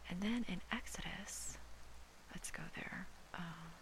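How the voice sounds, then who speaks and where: A woman from Hawaii who whispers throughout, slow and hushed, as she reads Scripture aloud and then comments on it. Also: on the low side, rough recording. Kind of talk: whispered Bible reading and commentary.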